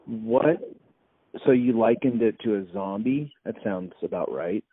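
A man's voice: lowish voice, lively intonation, a little slow, slightly rough, narrow, tinny audio. A man asking short, curious questions as the interviewer.

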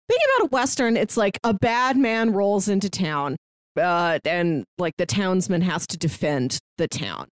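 A woman's voice: fairly low pitch, no room sound, studio quality. A woman co-hosting a podcast in a casual film review chat, slipping into imagined dialogue as she explains. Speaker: podcast co-host.

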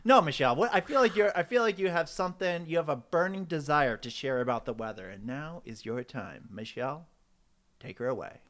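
A man's voice: fairly high pitch, lively intonation, brisk, a bit bright. A man co-hosting a podcast, rambling through casual comedic riffs in a theatrical way. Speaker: podcast co-host.

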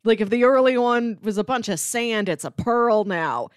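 A woman's voice: a little high, lively intonation, moderate pace, smooth, no echo. Enthusiastic woman, close dry sound. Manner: enthusiastic, emphatic, hyperbolic. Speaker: podcast co-host. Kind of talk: casual film discussion.

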